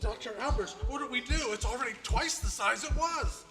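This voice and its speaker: A panicky lab colleague, played by a man with a very high, urgent voice and melodramatic stammer. It is old radio horror in an echoing hall, with music behind. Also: brisk, bright, forceful tone, heavily acted. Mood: anxious.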